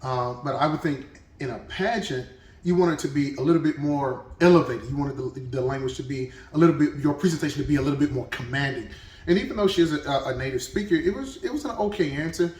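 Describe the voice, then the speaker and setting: A man's voice: on the high side, fast talker, a little mellow, echoey room, far from the mic. A man hosting a reaction video, talking casually and thinking aloud with restarts.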